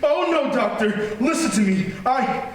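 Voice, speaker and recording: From a distant mic in a very echoey theater comes a distraught husband acted by a man in a radio horror play. His voice is extremely high for a man and swings widely, with slow, anguished, melodramatic delivery. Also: expressive. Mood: guilt-stricken, pleading. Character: distraught, guilt-ridden husband unravelling into hysteria. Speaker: stage actor in a radio play. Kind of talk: old-time radio horror acting. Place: theater stage, live-streamed radio play performance.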